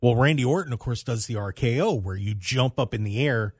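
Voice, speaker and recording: An American man hosting a sports talk radio show, telling stories in an animated way with emphatic repetition. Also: mid-range voice, brisk, husky, no echo, clean studio sound.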